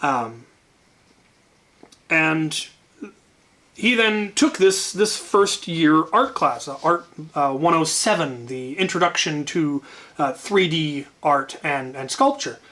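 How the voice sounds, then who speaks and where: A man's voice: a little high, expressive, slow, sharp tone. A man telling an anecdote casually as a channel host, wryly, often repeating words as he gathers his thoughts.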